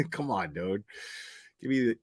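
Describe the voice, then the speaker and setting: A man's voice: fairly low pitch, breathy edge. An American man giving casual, slangy live reaction commentary in a mocking, informal way as a streamer.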